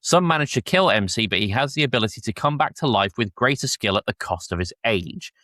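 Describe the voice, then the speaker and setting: A man with a lowish voice hosts a casual review podcast. He is jokey and informal, and the sound is dry and close. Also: expressive, a little fast, sharp tone, no room sound. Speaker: podcast host. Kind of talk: casual review chat with episode recaps.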